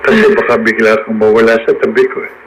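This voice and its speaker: A man's voice: on the low side, fast, a little hiss or hum, close to an everyday voice, poor audio. An actor with a Filipino accent playing a grateful, tender husband and father freed from wrongful jail, in emotional radio drama style. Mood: tender devotion. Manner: emotional, earnest.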